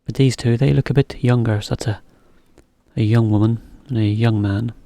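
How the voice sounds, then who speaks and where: A man teaching a beginner English lesson, explaining slowly in plain words with example sentences. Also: mid-range voice, steady tone, mellow, no room sound.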